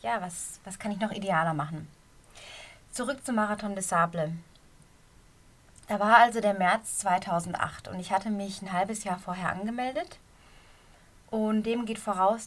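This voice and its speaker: A middle-aged woman with a slight cold and a somewhat hoarse voice, a running coach giving a casual, earnest podcast talk from a quiet corner of her bedroom. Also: mid-range voice. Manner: earnest, encouraging, talks straight to the listener.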